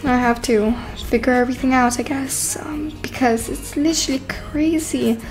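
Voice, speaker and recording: Woman acting a high-voiced, playful young girlfriend. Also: steady tone, normal speed. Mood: worried, suspicious. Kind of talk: scripted roleplay story voice acting. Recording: music behind.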